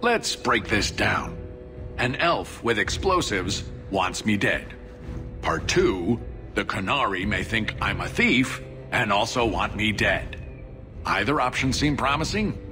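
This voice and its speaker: A man's voice: on the low side, big pitch swings, takes it slowly, over music. A man playing an exasperated, shifty dwarf merchant in a video game, grumbling with sarcasm. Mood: sarcastic, weary. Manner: sardonic grumbling, colourful folksy exclamations. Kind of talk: video game cutscene dialogue.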